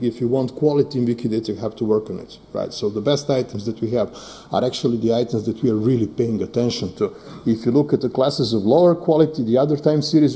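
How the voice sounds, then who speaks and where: Middle-aged non-native man, dark gravelly voice, conference presenter at lectern, peppers talk with "right?" and "uh". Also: medium pitch. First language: Serbo-Croatian. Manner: frequent fillers and "right?" tag questions. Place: conference hall stage. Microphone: lectern microphone.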